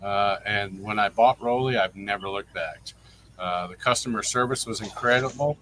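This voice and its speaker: A man's voice: on the low side, normal speed, sharp tone. A man giving a casual testimonial as a livestream guest, over a noisy recording with clicks.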